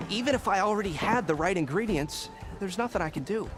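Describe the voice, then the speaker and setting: An actor playing a fussy, put-upon but polite camp cook in a video game, with formal, wordy speech. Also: male voice, sits high, animated, fast talker. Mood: courteous, harried. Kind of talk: video game dialogue acting.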